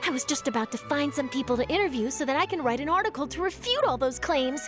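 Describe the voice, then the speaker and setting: A woman's voice: high voice, lively intonation, quick pace. A woman playing an earnest, perky young newspaper reporter in a video game, articulate and principled. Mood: earnest, indignant. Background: music.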